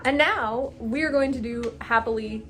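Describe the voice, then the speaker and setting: A woman's voice: a little high, a little slow. A woman chatting enthusiastically and casually as the host of a group recap vlog.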